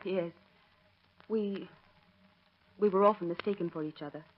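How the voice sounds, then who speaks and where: A woman's voice: medium pitch, moderate pace, natural voice. An actress playing a coy, agreeable young woman with a light, coquettish manner, in 1930s radio drama style. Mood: coy, obliging. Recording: hissy, dull old radio recording.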